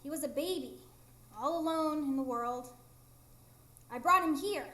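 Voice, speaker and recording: A woman's voice: sits high, measured pace, fairly bright tone. A woman playing a heartbroken, proud fairy living as a human, in a plaintive, emphatic live radio drama performance. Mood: bitter, hurt. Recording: roomy live stage performance.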